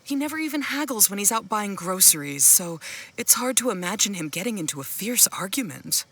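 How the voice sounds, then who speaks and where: A worried, weary wife. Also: female voice, mid-range voice, big pitch swings, a little fast, a bit bright, slight background noise. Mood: regretful, worried. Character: worried, weary wife and mother, gentle but firm. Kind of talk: video game voice acting.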